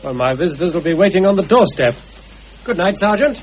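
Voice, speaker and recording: Courteous playwright, lively, highish voice. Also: male voice, brisk. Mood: courteous, brisk. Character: courteous playwright on his way home. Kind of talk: radio drama acting. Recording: dull, hissy old radio recording.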